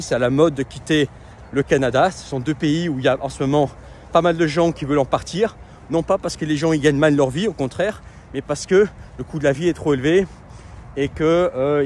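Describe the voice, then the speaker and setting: A man's voice: fairly high pitch, darkish tone, slight background noise. A man talking casually in a vlog, with lots of hesitations and restarts. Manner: conversational, many fillers and restarts. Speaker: web entrepreneur and coach.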